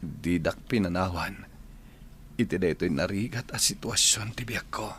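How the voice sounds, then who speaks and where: A man's voice: low voice, a little slow, a bit bright, a little hiss or hum. A man with an Ilocano Filipino accent playing a sorrowful widower and devoted son in an audio drama. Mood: grieving, grateful. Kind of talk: amateur audio drama acting.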